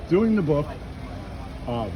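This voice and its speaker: A man's voice: a little high, sing-song, takes it slowly, dull audio. A man doing a casual street interview as the interviewer, asking blunt questions one after another. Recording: noisy outdoor urban street with traffic.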